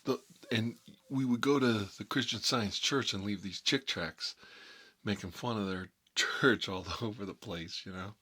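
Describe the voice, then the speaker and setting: A man's voice: on the low side, measured pace, bright, forceful tone, breathy edge, full character voice. A man playing a nostalgic, folksy mob boss, telling rambling stories in a casual ASMR style. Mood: reminiscing. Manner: rambling, folksy, frequent "you know". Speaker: host of a character story series.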